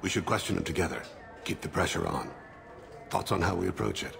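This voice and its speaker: An actor voicing a solemn male alien assassin in a video game, with measured, formal and poetic diction. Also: low-pitched, expressive, gravelly, over music, full character voice. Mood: calm, measured. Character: soft-spoken, solemn male alien assassin, remorseful father.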